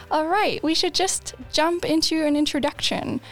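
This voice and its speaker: A young woman in her twenties hosting a student podcast, upbeat and quick to laugh. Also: sits high, faint music behind, low-quality recording. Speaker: podcast host. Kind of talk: friendly podcast interview.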